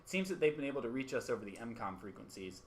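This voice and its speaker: A man's voice: mid-range voice, very animated, racing. A man playing an earnest, puzzled radio news co-anchor in an amateur radio play, with a hesitant, questioning manner. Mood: focused. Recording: faint background noise and ambience.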